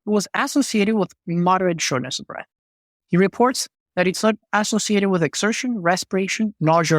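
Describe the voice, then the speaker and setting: A man with a slightly high voice works through clinical details in order, one item at a time. His voice is close and dry, with no room sound around it. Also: no echo. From Venezuela. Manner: methodical, listing clinical details. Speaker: cardiology fellow, guest. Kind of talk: case presentation on a podcast.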